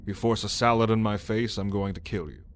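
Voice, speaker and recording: A man's voice: lowish voice, natural voice. A man voicing a lazy, playful old male dragon in an ASMR roleplay, with a teasing, sardonic drawl. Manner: unhurried, teasing, chuckling drawl. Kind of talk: ASMR roleplay addressed to the listener.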